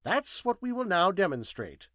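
Man acting curt, high-pitched scientist sleuth. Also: big pitch swings, normal speed, sharp tone, no room sound, clean studio sound, full character voice. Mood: brisk, petulant. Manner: curt, precise, didactic. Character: elderly professor-detective, curt, petulant, exacting.

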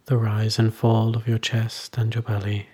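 A man leading a guided meditation as a teacher, soothing and unhurried, with long pauses between short phrases. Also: lowish voice, monotone, a little mellow, breathy edge.

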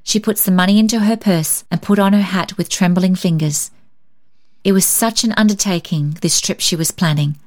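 A woman reading a story aloud as an expressive scripted narration. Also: lowish voice, normal speed. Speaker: story reader.

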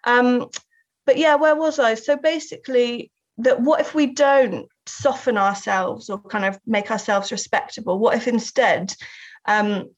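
A Southern English woman speaks as an interview guest, working through her ideas with frequent short laughs and restarts. Her voice sits a little high. The recording is slightly dull and lo-fi. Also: fairly even intonation, a little slow. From England. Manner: thoughtful, laughs often, restarts sentences. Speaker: guest artist and writer. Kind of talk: reflective interview talk.

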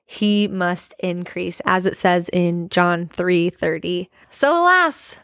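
A woman's voice: on the low side, slow, a bit bright, dry recording, studio quality. A woman in her late twenties hosting a devotional podcast, speaking earnestly and prayerfully in a reflective monologue. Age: 20s. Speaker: podcast host.

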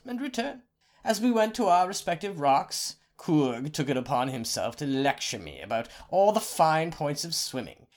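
A man's voice: on the high side, voice pushed up. A man voicing a clever young student telling his mother how he escaped. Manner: lively, proud storytelling.

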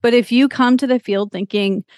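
A woman's voice: a little high, steady tone, moderate pace, a little mellow, dry recording. Earnest woman in her forties, dry sound. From Texas. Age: 40s. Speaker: lactation counselor guest. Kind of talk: podcast interview, personal storytelling.

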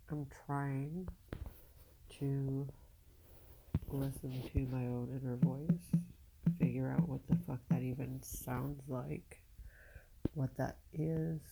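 A woman podcaster speaking off the cuff in a halting, confessional way, candid and casually profane, with a low husky voice and a downcast, weary air. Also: mellow, rough recording. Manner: halting, searching, with long pauses. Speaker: podcast host. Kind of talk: unscripted personal monologue, confessional.

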